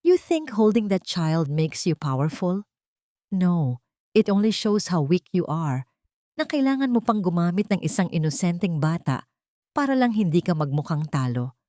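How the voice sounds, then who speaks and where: A woman performs a wronged wife and mother in a romantic audio drama. She keeps calm and controlled, with a lowish voice and cutting, hushed delivery. The sound is close and dry. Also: normal speed, dry recording. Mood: cold, scornful.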